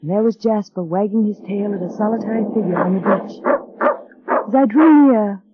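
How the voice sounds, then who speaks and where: A woman's voice: medium pitch, normal speed, phone-quality sound, natural voice. An actress playing a timid, anxious young wife who tells her gothic story in 1940s radio style. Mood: brooding narration. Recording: thin, band-limited vintage radio recording.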